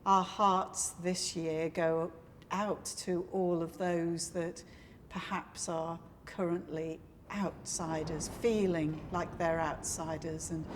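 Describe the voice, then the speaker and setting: An older woman, a church minister, giving an address and reading a poem with measured, deliberate phrasing. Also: on the low side, flat delivery, a little hiss or hum. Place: inside a large stone church. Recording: echoey church hall, faint music.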